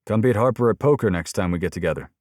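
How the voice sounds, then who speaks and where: A man's voice: fairly low pitch, lively intonation, quick pace, darkish tone, dry recording, clean studio sound, pitched above the performer's own voice. A man voicing a burned-out, snarky but businesslike detective in an audiobook, with casual, clipped lines. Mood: friendly teasing.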